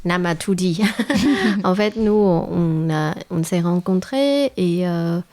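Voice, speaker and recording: A woman's voice: on the low side, slow pace, warm tone, dry recording. A woman from Vietnam speaking in an interview, hesitant and searching for words. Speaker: interview guest, restaurant co-founder. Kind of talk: casual interview talk.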